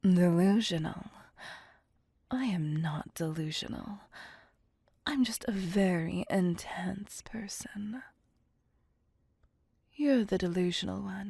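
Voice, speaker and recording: An ancient female vampire, acted by a woman for an ASMR roleplay, possessive and playful. She speaks very slowly in a dark, breathy near-whisper, coaxing and commanding the listener as her prey. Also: mid-range voice, dry recording, studio quality, heavily acted. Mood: indignant, scolding. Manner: slow, teasing, coaxing and domineering.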